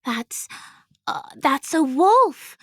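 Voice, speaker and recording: A woman voicing a young storybook prince, an excitable boy who is frightened but brave, with dramatic exclamations. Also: high voice, very slow, no room sound, studio quality, voice pushed up, heavily acted.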